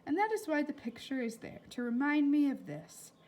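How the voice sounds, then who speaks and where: Woman voicing a melancholy older lady doctor, high-pitched. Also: very animated, moderate pace, a little mellow. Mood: wistful.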